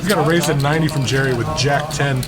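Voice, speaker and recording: A man's voice: medium pitch, fast, loud music behind the voice. A man giving casual live poker commentary, trading playful, teasing banter with his co-host.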